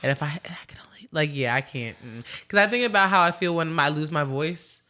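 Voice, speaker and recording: A woman hosting a podcast in a casual chat, talkative and quick to laugh, often repeating her words. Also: low voice, expressive, pushed and bright. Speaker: podcast host.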